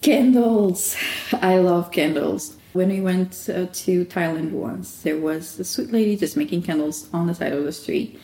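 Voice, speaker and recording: A woman's voice: lowish voice, a little slow, roomy sound, low-quality recording. A woman from Angola who owns a candle and soap shop, answering interview questions in a casual, chatty way with frequent laughs. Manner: warm laughing, chatty with self-quoting.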